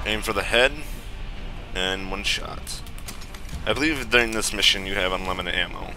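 A man's voice: on the low side, normal speed, sharp tone. A man giving casual commentary as he plays a video game, crude and sarcastic, swearing freely. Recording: game music and effects behind. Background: video game music and sound effects.

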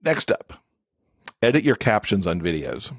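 Low-voiced man explaining in conversation. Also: big pitch swings, a little slow, no echo, clean studio sound. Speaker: podcast guest, accessibility expert and author.

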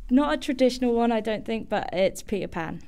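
A woman's voice: on the high side, animated, fairly quick, creaky, a little mellow. A female student chatting casually as a guest in an interview.

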